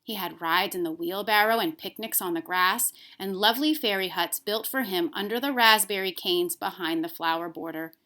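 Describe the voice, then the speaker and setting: A woman reading a children's story aloud as a teacher, expressive, with emphasis on key words. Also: medium pitch, normal speed, few pauses.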